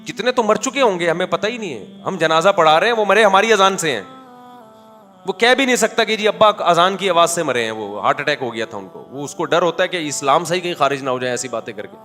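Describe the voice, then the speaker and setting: A man preaching in a conversational, animated way, telling anecdotes with quoted dialogue and rhetorical questions. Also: sits high, a little fast, long reverb tail, faint music behind. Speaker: Islamic preacher. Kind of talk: conversational religious talk.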